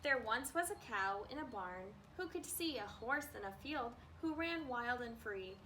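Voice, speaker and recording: A woman reading a children's storybook aloud in an expressive manner, as a librarian does at story time. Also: a little high, normal speed. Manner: expressive, acts out animal noises. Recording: distant mic with faint background noise.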